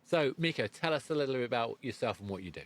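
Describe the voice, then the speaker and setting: A man's voice: medium pitch, expressive, quick pace. A man in his fifties hosting a casual interview, hesitant and often searching for his words. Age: 50s. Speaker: podcast host.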